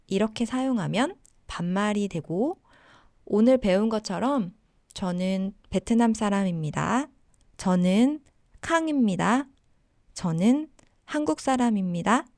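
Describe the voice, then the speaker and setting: A woman teaching a language lesson, giving model sentences and simple explanations in a deliberate way for learners. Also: medium pitch, expressive, a little mellow, no room sound, studio quality.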